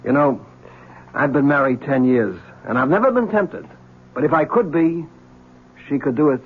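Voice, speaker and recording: An actor playing a sardonic, wisecracking police lieutenant in a 1970s radio drama. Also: male voice, fairly high pitch, expressive, normal speed, breathy edge. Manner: sardonic, teasing. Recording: tinny old radio broadcast.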